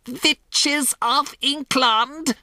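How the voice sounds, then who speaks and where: A storybook narrator voicing a furious, imperious female witch leader, shrieking with drawn-out, emphatic words. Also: medium pitch, huge pitch swings, husky, no echo, clean studio sound, full character voice. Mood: furious, scornful. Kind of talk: theatrical storybook character voice.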